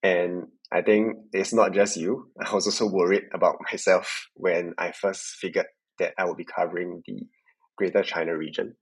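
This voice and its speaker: A young man with a Singaporean accent answers as a podcast guest. His voice is fairly low and noticeably husky, and he talks reflectively about his own experience. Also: flat delivery, normal speed, rough recording. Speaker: podcast guest, tech sales worker. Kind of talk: reflective interview conversation.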